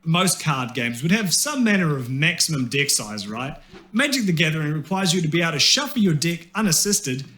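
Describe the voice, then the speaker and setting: A man's voice: fairly high pitch, very animated, fairly quick, pushed and bright. A man with a New Zealand accent reading scripted comedic narration in a wry, tongue-in-cheek way. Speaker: video essayist.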